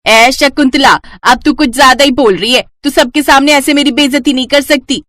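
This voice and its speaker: A woman doing a shrill, spiteful loud-mouthed aunt. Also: moderate pace, dry recording, clean studio sound. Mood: offended.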